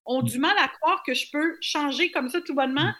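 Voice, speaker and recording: A high-pitched woman with a Québécois French accent speaks as an interview guest. She talks in a lively, expressive way and is quick to laugh. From Quebec. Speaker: guest performer. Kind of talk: radio interview.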